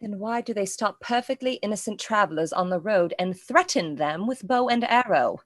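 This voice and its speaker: A woman playing a sharp-tongued, feisty young lady's companion in a radio play, blunt and tart. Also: mid-range voice, animated, moderate pace, no echo, clean studio sound, natural voice. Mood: accusing. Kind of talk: theatrical radio play acting.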